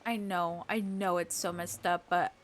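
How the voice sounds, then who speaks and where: A woman livestreamer chatting casually with viewers, halting and emotional in a gushing way. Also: lowish voice, fairly even intonation, soft background music. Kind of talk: casual live game stream chat, recapping to viewers.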